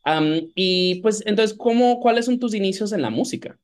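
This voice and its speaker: A man's voice: high-pitched, normal speed. A man hosting a casual interview, searching for his phrasing with repeated words and slipping English phrases into his talk. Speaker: podcast host.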